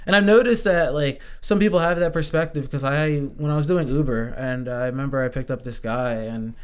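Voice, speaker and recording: A man's voice: medium pitch, a little fast, smooth. A man in casual podcast chat, rambling with constant "like" fillers and casual swearing.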